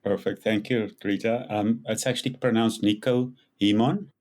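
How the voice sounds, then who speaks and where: An older man with a South African accent, a podcast guest telling his story in a lively, animated way. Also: fairly low pitch, normal speed. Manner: animated storyteller, rises when acting out quotes. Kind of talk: casual interview storytelling.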